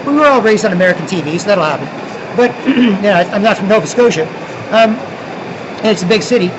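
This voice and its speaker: A man's voice: high-pitched, animated, fast talker, highs cut off, noisy background. A Canadian man from Toronto vlogging outdoors, rambling, restarting often and tagging lines with "right?". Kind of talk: rambling street vlog monologue.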